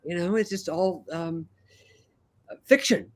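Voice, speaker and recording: An older man, a calm and relaxed guest, telling his life story in a casual interview. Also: sits high, takes it slowly.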